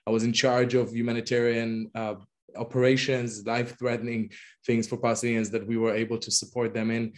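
A man's voice: mid-range voice, level tone, fairly quick, a little mellow. A man in his thirties from Israel with an Israeli accent, speaking as an interview guest, hesitant with many fillers and restarts. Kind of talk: conversational interview.

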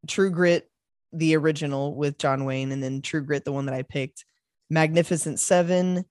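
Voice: mid-range voice, steady tone, moderate pace, darkish tone, dry recording, studio quality. A podcast co-host in casual film chat, rambling and laughing often. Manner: rambling, laughs often, acts out movie quotes.

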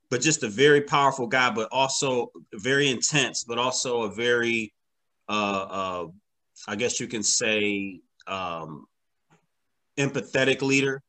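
Man, interview guest, medium pitch, sharp bright voice, casual slangy talk, halting delivery. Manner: halting, repeats words, slangy, often says "man" and "you know". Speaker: interview guest, comic book creator.

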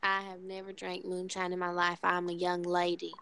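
A woman's voice: fairly low pitch, monotone, moderate pace, vocal fry, bright, forceful tone, clean tone. A woman putting on a prim Southern young lady with a put-on Southern accent, speaking in a mock-proper, drawling way.

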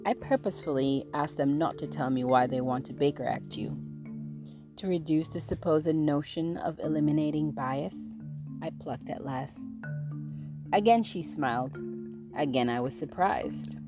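A woman's voice: low-pitched, moderate pace, music in the background. A woman podcast host who writes fiction, chatting casually about her work and then reading her own short story aloud. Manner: casual, relaxed intro, then steady storytelling.

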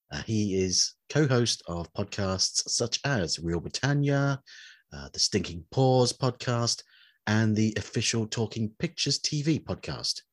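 A man's voice: lowish voice, breathy edge. Nasal man with a head cold. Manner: hesitant, many restarts and fillers. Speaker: podcast host. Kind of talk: casual podcast chat.

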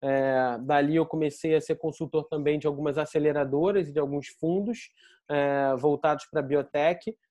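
A man's voice: on the high side, steady tone, moderate pace, dark tone, no room sound. A middle-aged Brazilian man with a Carioca accent, giving a conversational self-introduction as a panel guest. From Rio de Janeiro, Brazil. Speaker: panel guest, scientist and pharmaceutical innovation director.

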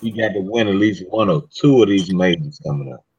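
A man co-hosting a casual sports talk show, with a husky voice and a laid-back way of talking. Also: low voice, brisk, a little mellow. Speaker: co-host. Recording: dull lo-fi sound.

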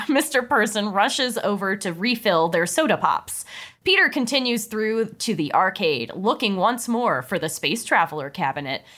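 A woman podcast host narrating a comedy script in a lively way, with playful asides. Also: medium pitch.

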